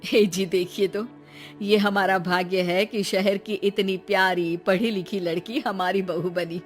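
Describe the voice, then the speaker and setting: A woman's voice: medium pitch, fairly even intonation, a little slow, faint music behind, lightly acted. A woman voicing a kindly, fretful mother-in-law in a cartoon story. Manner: fretful, pleading.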